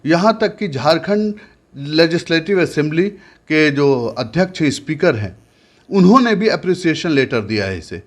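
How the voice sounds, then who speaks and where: A man's voice: a little high, lively intonation, moderate pace. A man who narrates a news report in a formal tone and then interviews a guest, often hesitating when he speaks off script.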